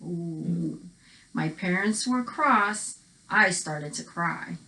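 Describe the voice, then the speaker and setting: A woman co-hosting a children's read-aloud, telling the story in an animated, reactive way and chatting casually. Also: on the low side, extremely slow.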